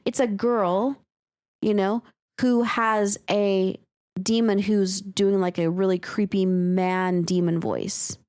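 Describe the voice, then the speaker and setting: A woman's voice: medium pitch, fairly even intonation, takes it slowly, darkish tone, clean tone, no room sound, studio quality. A woman co-hosting a podcast, chatting casually and candidly about writing, with some swearing. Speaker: podcast co-host and writer. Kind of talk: casual story discussion.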